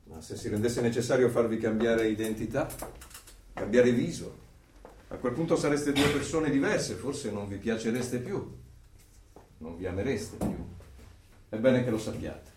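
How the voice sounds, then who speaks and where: An actor in a radio drama playing a grave leader of a secret brotherhood, with a solemn, commanding, oratorical delivery. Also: male voice, mid-range voice, unhurried, long pauses, lightly acted. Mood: solemn, ominous. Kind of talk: Italian radio drama acting. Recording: echoey, distant mic, faint noise.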